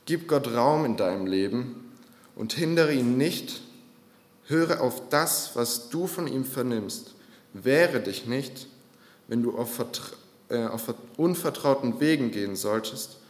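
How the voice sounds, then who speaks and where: A man's voice: medium pitch, lively intonation, takes it slowly, echoey room, big-room sound. A man preaching a sermon in an earnest, exhorting manner.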